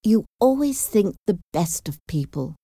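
A woman voicing a sensible, cautious young woman in a storybook, a protective sister with a frank, measured manner. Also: mid-range voice, sing-song, dark tone, husky, no echo, clean studio sound, voice pushed up, heavily acted. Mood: cautioning.

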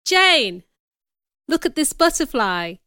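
A woman voicing a commanding, ardent gentleman in a storybook, with an earnest, emphatic delivery. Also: high-pitched, sing-song, slow pace, no room sound, clean studio sound, pitched above the performer's own voice, exaggerated voice. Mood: friendly. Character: Mister Rochester, commanding, ardent gentleman in love.

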